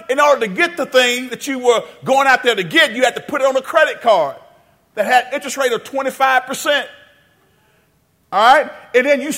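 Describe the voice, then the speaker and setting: High-pitched guy preaching in church, folksy, keeps asking the congregation questions. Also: sharp tone. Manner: call-and-response preaching, asks the congregation questions; folksy, addresses listeners as "y'all" and "baby". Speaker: pastor. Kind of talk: sermon.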